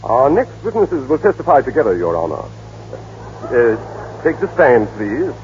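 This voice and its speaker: An actor playing an insistent, smooth prosecuting lawyer in an old radio drama, with formal, emphatic theatrical delivery. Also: male voice, medium pitch, sing-song, unhurried, a little mellow. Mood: politely smooth. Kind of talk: 1930s-40s radio drama acting. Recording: muffled, noisy old radio broadcast.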